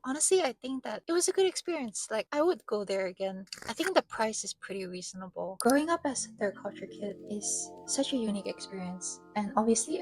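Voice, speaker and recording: A woman's voice: medium pitch, rough recording. A young woman from Malaysia, raised in China, talking in a casual, reflective vlog style with plenty of filler likes. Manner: chatty, many filler likes. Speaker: vlogger. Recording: background music under voice.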